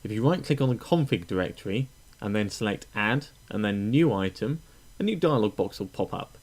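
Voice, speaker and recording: A man with a fairly low voice talks through a software tutorial one step at a time. His delivery is informal and instructional, with frequent small hesitations as he goes. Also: lively intonation, a little fast. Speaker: tutorial presenter.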